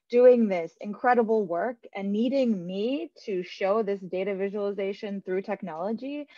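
A woman's voice: medium pitch, mellow, no echo. Woman, dry and slightly muffled. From born in Saint Petersburg, Russia, moved to America. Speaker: panelist. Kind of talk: casual personal storytelling on a panel. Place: small room at home. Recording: slightly dull, dry video-call audio.

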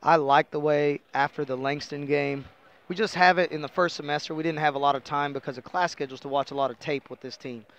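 A man's voice: on the high side, fairly even intonation, fast, a bit bright, lo-fi sound. A middle-aged American man, a basketball coach explaining things conversationally in a postgame interview on a headset mic. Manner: conversational, explanatory, frequent "you know". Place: indoor interview table at a sports arena.

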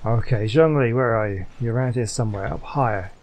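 A man's voice: medium pitch, a little fast, a little mellow, a little hiss or hum. A man giving casual gameplay commentary, thinking aloud as he plays. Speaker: gaming YouTuber.

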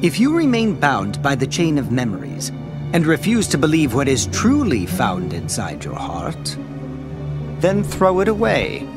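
Theatrical, wildly swooping taunting villain. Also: male voice, a little high, wildly swooping pitch, over loud music, full character voice. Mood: scornful. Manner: theatrical, mocking, drawn-out riddling delivery. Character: taunting, theatrical, cryptic villain toying with a hero. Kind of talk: video game cutscene voice acting.

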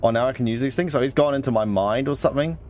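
A man's voice: medium pitch, rapid-fire, a little mellow. A man giving casual let's play commentary, thinking aloud to himself as he plays. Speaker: gaming channel host. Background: game music.

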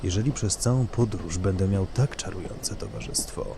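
A courtly gentleman traveler acted by a man in an audio drama, low-pitched and measured. He is a formal, boastful charmer, heard over clear vehicle noise. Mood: gallant flirtation. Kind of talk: Polish audio drama dialogue.

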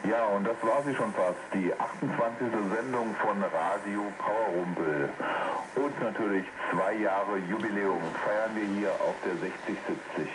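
A man's voice: fairly low pitch, moderate pace. A man from Germany hosting a hobby radio show, making announcements, heard over shortwave radio. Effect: shortwave radio broadcast. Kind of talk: radio show sign-off and thank-you announcements. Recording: noisy shortwave radio reception with static. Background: radio static and hiss.

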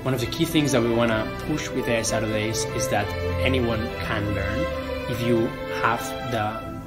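A man's voice: mid-range voice, fairly even intonation, measured pace. A man giving promotional interview talk as the organiser of a programme. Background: loud music.

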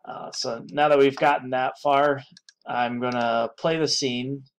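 A man giving an unscripted screen-recorded software tutorial, thinking aloud with many pauses and restarts. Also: medium pitch, fairly even intonation, smooth.

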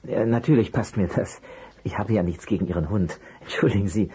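A man's voice: fairly low pitch, fairly quick, mellow, slightly rough. A man playing a polite, easily flustered private detective in a German audio drama, courteous and hesitant. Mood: polite, nervous. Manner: courteous, hesitant, apologetic under pressure. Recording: noisy, clicky vinyl transfer.

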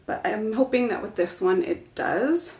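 A young woman filming a casual home decor haul vlog at home, talking to the camera in a relaxed, chatty, enthusiastic way. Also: mid-range voice, unhurried, roomy sound. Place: living room at home, indoors.

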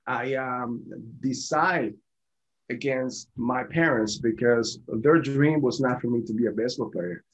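A man's voice: medium pitch, a little slow. A man, telling his personal story conversationally as a guest over a video call. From born in Venezuela, raised in Colombia. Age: 40s. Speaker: podcast guest. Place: small indoor home office. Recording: dull, lo-fi video call audio.

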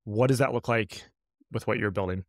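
A man's voice: lowish voice, brisk, darkish tone, dry recording, clean studio sound. A man hosting a casual podcast interview, reflectively restating and framing points as he talks. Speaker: podcast host.

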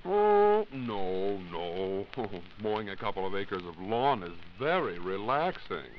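Flustered, animated radio dad. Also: male voice, on the low side, takes it slowly. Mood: weary, flustered. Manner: flustered, hesitant, huffing. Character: worn-out, bluffing suburban father humoring his daughter. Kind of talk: 1940s radio sketch acting. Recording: muffled, hissy 1940s radio recording.

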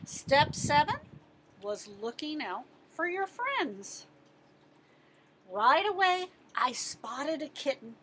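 A middle-aged American woman reads a picture book aloud to children in an expressive, emphatic way, asking questions and answering them. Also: high-pitched, slow pace, background noise. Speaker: teacher-librarian reading to children. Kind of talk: animated picture-book read-aloud with questions to young listeners.

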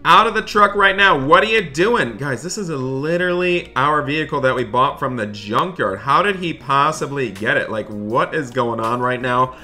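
Dramatic man, very bright forceful voice. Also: mid-range voice, lively intonation, normal speed, few pauses. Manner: dramatic, exasperated, repeats himself. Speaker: gaming video host playing a dad in roleplay. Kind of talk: excited roleplay narration for viewers. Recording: background music behind voice. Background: music.

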